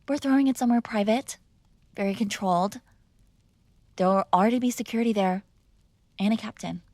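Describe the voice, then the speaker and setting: A woman's voice: medium pitch, no room sound, natural voice. A woman playing a mob boss's spoiled, bratty young adult daughter in an intimate roleplay, speaking casually with sarcastic, exasperated argument. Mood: insistent, breezy. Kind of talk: intimate ASMR roleplay acting, one-sided conversation.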